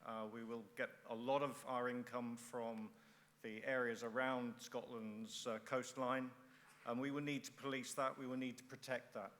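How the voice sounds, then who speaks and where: A middle-aged man from England, a former air force member, giving a halting first conference speech full of uhs and restarts. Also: medium pitch, level tone, fairly bright tone. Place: large conference hall. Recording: long hall reverb.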